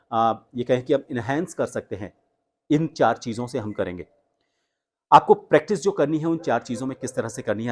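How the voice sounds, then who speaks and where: A man speaking as a voice coach, explaining techniques in an instructional way with deliberate emphasis on key words. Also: mid-range voice, a little mellow. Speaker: voice coach and host. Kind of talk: instructional tutorial with spoken demonstrations.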